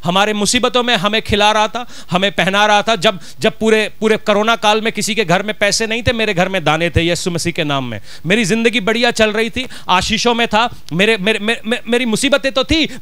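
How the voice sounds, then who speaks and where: A man's voice: high-pitched, pushed and bright, clear, resonant tone, no echo. A middle-aged man with a Punjabi accent, a pastor preaching a sermon from a church stage with a handheld mic, driving his points home with rhetorical questions. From Punjab, India.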